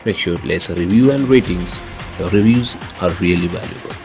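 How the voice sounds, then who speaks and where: Low-voiced man announcing podcast credits. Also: brisk, music in the background. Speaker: podcast host. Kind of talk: scripted announcement, episode credits.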